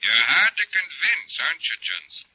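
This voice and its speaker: An actor playing a smug, threatening business rival in a 1940s radio drama, insinuating in manner, on an old recording. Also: male voice, a little high, big pitch swings, normal speed, pushed and bright, slightly rough, dry recording, lo-fi sound, heavily acted. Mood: smug menace.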